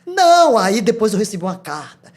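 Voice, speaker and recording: An older Brazilian woman with a Brazilian Portuguese accent tells her testimony as a podcast guest. She speaks with lively energy and laughs often. She also acts out the words of the people in her stories. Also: medium pitch, fairly quick. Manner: animated, laughs often, acts out quoted dialogue. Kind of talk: testimony storytelling.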